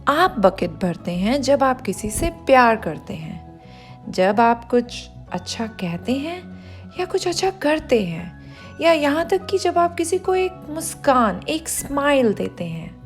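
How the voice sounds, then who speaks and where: Woman, children's storyteller, expressive read-aloud, unhurried pace, swooping intonation, explanatory, direct address to kids. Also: high-pitched, soft background music. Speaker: storyteller reading a children's picture book aloud.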